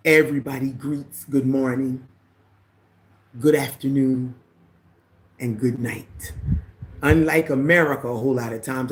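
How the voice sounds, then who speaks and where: A woman with a deep voice hosts a casual livestream. She greets viewers by name, reads their comments aloud, and drifts into preachy asides. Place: living in the Caribbean.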